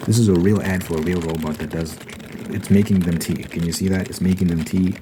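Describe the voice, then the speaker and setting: Very deep-voiced man, noisy ASMR ramble. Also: steady tone, a little fast. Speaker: host of a rambling ASMR channel. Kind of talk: ASMR ramble, reading aloud and commenting. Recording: noisy, with clicking, typing and crinkling sounds.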